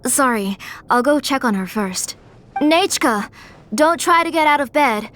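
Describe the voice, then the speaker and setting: A gentle, apologetic mother. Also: high-pitched, expressive, unhurried, a little hiss or hum. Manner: soft-spoken, apologetic. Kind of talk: video game voice acting.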